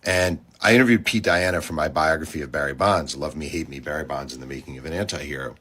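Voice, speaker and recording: A man's voice: very deep voice, fast talker, a bit bright, slightly rough. An American man, a sportswriter, telling an anecdote in a casual, direct storytelling style. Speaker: sportswriter and biographer.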